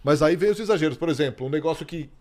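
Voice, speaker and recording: Man explaining in a slightly high voice. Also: very fast, darkish tone. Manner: explanatory, gives examples. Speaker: sports commentator. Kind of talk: casual podcast chat.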